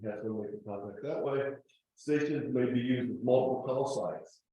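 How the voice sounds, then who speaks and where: A man's voice: mid-range voice, moderate pace, warm tone. A man giving an informal slideshow lecture as a club presenter, explaining rules in a conversational, explanatory way with casual asides. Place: echoey indoor meeting room. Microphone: distant room microphone. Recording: very echoey room, distant mic.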